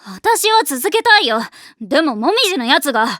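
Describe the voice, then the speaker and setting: A woman voice-acting a brash, hot-tempered high school tomboy in an anime, with rough, slangy, boyish speech and a blunt, defensive manner. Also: very animated, moderate pace, fairly bright tone, no room sound, clean studio sound. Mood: bitter.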